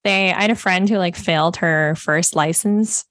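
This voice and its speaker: A woman's voice: fairly low pitch, normal speed, fairly bright tone, no room sound. A woman co-hosting a podcast, chatting playfully and laughing often, and reading a guest introduction. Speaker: podcast co-host. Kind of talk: casual chat and guest introduction.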